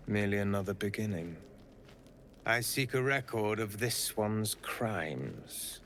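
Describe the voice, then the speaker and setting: An actor playing a solemn otherworldly spirit of justice in a video game, speaking gravely in archaic phrases. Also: male voice, on the low side, a bit bright, slight background noise. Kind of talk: video game character dialogue.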